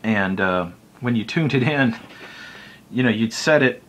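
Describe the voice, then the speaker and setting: A man's voice: mid-range voice, expressive, a little slow, a bit bright, a little hiss or hum. A man giving a casual, unscripted hobby explainer in a folksy, rambling, self-deprecating way. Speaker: hobbyist video presenter.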